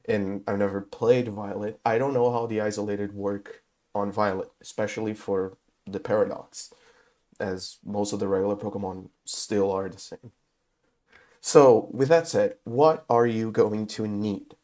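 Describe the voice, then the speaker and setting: A man who is sick with a cold, talking through a casual game tutorial in English, his second language, with many pauses and filler sounds. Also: fairly low pitch, a little mellow. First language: not English. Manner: halting, many filler uhs. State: has a cold. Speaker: gaming video host.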